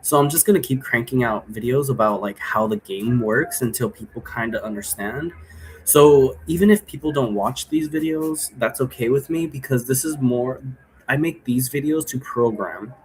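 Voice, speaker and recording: Guy with a mid-pitched voice rambling casually about how stuff works, keeps checking you agree, bit of background noise. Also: normal speed, poor audio. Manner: rambling, seeks agreement with frequent tag questions. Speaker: vlogger explaining own role-play game. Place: indoor room.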